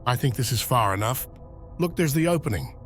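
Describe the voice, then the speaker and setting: Man as cautious scout, medium-pitched voice. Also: lively intonation, brisk, fairly bright tone, close to an everyday voice. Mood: wary. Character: cautious, observant young male scout. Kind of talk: motion comic dramatic acting. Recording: music under the voice.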